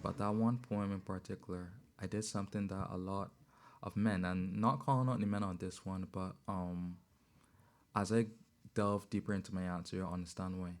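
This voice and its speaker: A man, a poet guest on a radio show, telling his story in a measured way with many pauses and ums. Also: low voice, fairly even intonation. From Barbados. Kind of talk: relaxed interview talk. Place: radio studio. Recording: loud music bed behind voice. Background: music.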